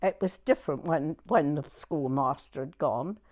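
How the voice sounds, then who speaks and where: A woman in her nineties with a southern English accent, reminiscing in an unhurried, halting way in short broken phrases. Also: sits low, darkish tone. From southern England. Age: 90s. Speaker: oral history interviewee. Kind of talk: personal reminiscence in conversation.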